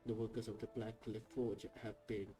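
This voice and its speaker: A man's voice: lowish voice, flat delivery. Man stumbling through text over game music. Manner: halting, stumbles and restarts over names. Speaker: gameplay video uploader. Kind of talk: reading on-screen game text aloud.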